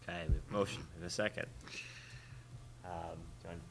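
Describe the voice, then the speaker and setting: A man chairing a school committee meeting in brisk procedural talk. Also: deep voice, steady tone. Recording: noisy public meeting room recording.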